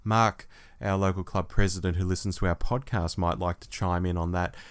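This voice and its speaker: A middle-aged man with an Australian accent talks in a fairly low voice. He sounds like a podcast co-host in an informal review, chatting casually with a partner. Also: fairly even intonation, a little fast.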